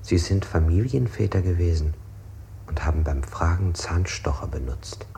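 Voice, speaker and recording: An actor playing a brooding interrogated man who recounts his ordeal in a literary radio play, with measured, deliberate recitation and a husky, gravelly voice. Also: low-pitched, heavy background noise.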